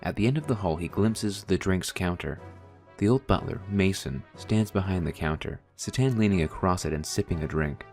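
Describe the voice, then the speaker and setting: A man's voice: sits low, moderate pace, darkish tone, soft background music. A man reading scripted, descriptive narration for an audio drama.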